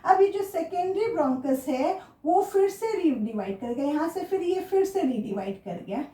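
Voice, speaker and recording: A woman's voice: very high-pitched, expressive, moderate pace, warm tone. A woman with an Indian accent giving a school lecture as a teacher, asking questions and repeating key terms. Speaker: biology teacher. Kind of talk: classroom lecture for school students. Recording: echoey room.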